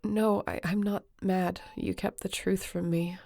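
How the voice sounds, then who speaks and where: A woman playing a tired, tender, worried young woman in an intimate sci-fi audio roleplay, speaking softly and earnestly. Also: mid-range voice, flat delivery, measured pace, creaky.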